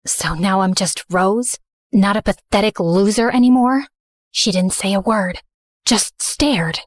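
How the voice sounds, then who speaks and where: A woman's voice: medium pitch, no room sound, studio quality. A woman playing a hurt, guilt-ridden teenage girl who narrates her own story in a confessional, dramatic first-person style. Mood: hurt, resolute. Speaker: narrator and main character.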